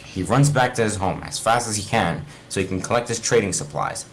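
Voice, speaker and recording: A man's voice: lowish voice, expressive, a little fast, noisy background. A man reading a fan fiction story aloud informally, stumbling over words and correcting himself. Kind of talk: informal read-aloud narration among friends.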